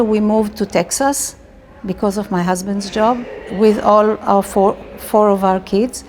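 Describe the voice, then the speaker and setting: A woman's voice: medium pitch, a little mellow, slight background noise. A middle-aged woman from Israel with an Israeli accent, telling personal stories casually as an interviewee.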